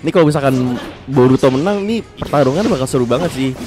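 Excitable young man with a stuffy nose. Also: mid-range voice, fast talker. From Indonesia. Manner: excitable, slangy, addresses viewers constantly. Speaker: gaming commentator. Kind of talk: casual gameplay commentary. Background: game music.

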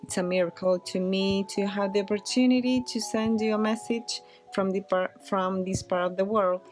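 A woman from Chile speaks earnestly and a bit haltingly in a mid-range voice. Faint music plays behind her. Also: mid-range voice, fairly even intonation, a little mellow. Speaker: fan sending birthday wishes. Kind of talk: casual video greeting.

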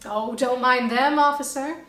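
Hissing, wheedling snake hobo, by a woman, high and roomy. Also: fairly even intonation, a little slow, fairly bright tone, distant mic. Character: scheming male snake vagrant.

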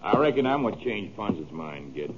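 Through a muffled, very noisy old radio recording, a surly cowhand villain. His voice is fairly low. He is taunting and sardonic. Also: big pitch swings, normal speed. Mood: sneering. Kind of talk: 1950s radio drama acting.

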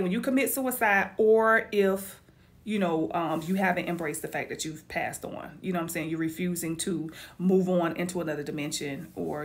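A woman chatting casually to her viewers in a vlog, animated and talkative. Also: on the low side. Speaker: vlogger.

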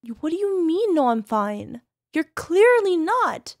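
Woman doing a doting-but-scary yandere wife, high and sugary, close-mic ASMR drama. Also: big pitch swings, unhurried, no echo, heavily acted. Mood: panicked. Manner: sweetly coaxing, turning quietly menacing. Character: possessive yandere young wife, sweet but controlling. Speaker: voice actress. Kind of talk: ASMR roleplay audio drama.

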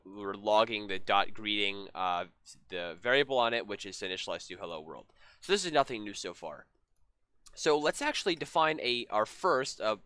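A young man teaching a coding lesson in a loose, casual way, slightly hoarse from being sick and full of ums and restarts. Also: lowish voice, no room sound. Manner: relaxed, many ums and restarts. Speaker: programming tutorial host. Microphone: headset.

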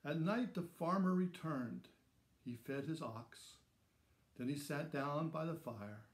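A man in his sixties reading a children's story aloud in simple, measured phrases with dramatic emphasis. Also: on the high side. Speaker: storybook reader. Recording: roomy echo around the voice.

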